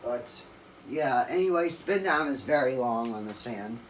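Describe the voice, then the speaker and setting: A man with a raspy voice rambling through a casual, off-the-cuff demonstration, correcting himself and losing his train of thought. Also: medium pitch, expressive, a little slow, recorded from a distance, a little hiss or hum, rough recording. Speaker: ceiling fan collector demonstrating a fan. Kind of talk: casual off-the-cuff video narration.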